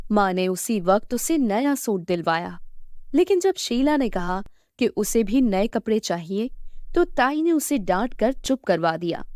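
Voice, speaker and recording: A woman's voice: medium pitch, a little mellow, dry recording, studio quality. A woman reading a scripted children's story as the storyteller.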